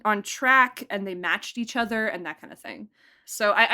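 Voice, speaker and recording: A woman talking through behind-the-scenes stories in a relaxed, chatty way, full of fillers and quick laughs. Her voice sits at an ordinary middle pitch. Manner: chatty, animated, laughs often, uses "like" a lot. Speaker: producer and director of an audio drama. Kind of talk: casual interview conversation.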